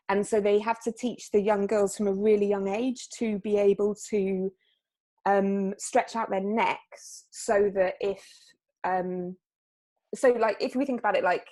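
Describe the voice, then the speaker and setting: A woman talks about her work in a loose, conversational way. She stops and restarts often and leans on fillers as she goes. Her voice sits at an ordinary middle pitch. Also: a little mellow. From South Wales. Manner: hesitant, lots of fillers and restarts. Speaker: artist. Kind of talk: casual interview chat.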